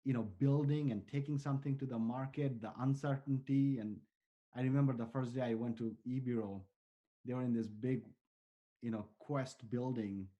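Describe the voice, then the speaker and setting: A man's voice: medium pitch, fairly even intonation, moderate pace, dark tone, lo-fi sound. A man in his forties from Bangladesh, a startup founder answering interview questions in an earnest, rambling way. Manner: earnest, talks in long strings of restarts and fillers.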